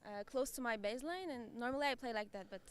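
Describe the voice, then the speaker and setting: A young woman in her twenties, a native Turkish speaker, answers questions in English. Her voice sits a little high, and she speaks softly and hesitantly. She laughs easily between her answers. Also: fast, smooth. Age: 20s. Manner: hesitant, soft-spoken, laughs often. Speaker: tennis player, qualifier. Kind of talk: casual interview answers.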